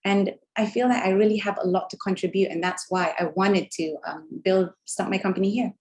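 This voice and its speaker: A woman speaking as a panelist on a video call, earnest and measured. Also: mid-range voice, steady tone, darkish tone. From Malaysia. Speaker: entrepreneur panelist. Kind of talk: virtual panel discussion.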